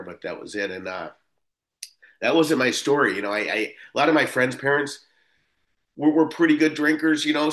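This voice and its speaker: A man's voice: medium pitch, a bit bright. A man in his fifties from Buffalo, New York, sharing his story as a speaker at a recovery meeting in a rambling way, with many restarts and fillers. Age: 50s. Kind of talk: personal recovery talk.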